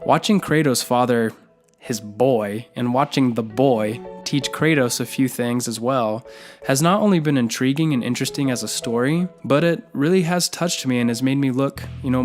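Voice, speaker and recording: A young man co-hosting a gaming video, talking casually in a goofy, excitable, jokey way. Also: mid-range voice. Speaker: co-host of a gaming video channel.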